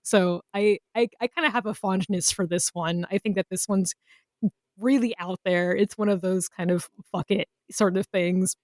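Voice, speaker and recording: A woman's voice: mid-range voice, fairly even intonation, moderate pace, darkish tone, no room sound. A woman co-hosting a podcast in casual chat, informal, giggly and enthusiastic. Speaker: podcast co-host.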